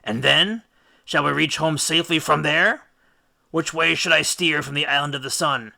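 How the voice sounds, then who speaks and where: Man playing an urgent, forceful storybook hero. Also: on the high side, moderate pace, gravelly, pitched above the performer's own voice, exaggerated voice. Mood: urgent, pleading. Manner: emphatic, insistent. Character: urgent, distressed Greek hero, living man.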